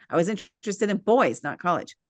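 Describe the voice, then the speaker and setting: A woman's voice: mid-range voice, normal speed, no room sound. A woman hosting a casual author chat, telling stories and acting out her own quotes. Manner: animated storyteller, acts out quotes. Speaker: host.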